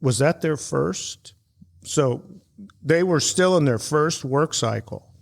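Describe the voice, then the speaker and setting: A man's voice: a little high, animated, slow pace, gravelly. A man co-hosting a podcast, giving casual analysis in conversation. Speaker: podcast co-host.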